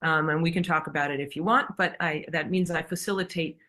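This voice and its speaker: American woman, low-pitched, explaining directly. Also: steady tone, a little fast, pushed and bright. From New York, United States. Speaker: interview guest, community therapist. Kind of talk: conversational interview.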